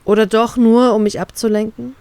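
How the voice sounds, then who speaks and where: A woman reading her own first-person romance fan fiction with calm, steady narration. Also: on the high side, normal speed, smooth, no room sound.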